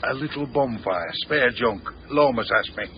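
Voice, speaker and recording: An actor playing a gruff, cheeky working-class Yorkshireman, blunt and slangy, in a naturalistic radio drama. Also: medium pitch, normal speed, close to an everyday voice. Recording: dull radio recording with some background noise.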